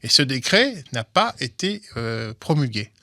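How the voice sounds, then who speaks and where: Man, mid-pitched, halting explainer, close dry mic. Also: big pitch swings, bright, forceful tone, dry recording. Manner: halting, many restarts and repeated words. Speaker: guest, legal commentator. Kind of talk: explanatory interview talk.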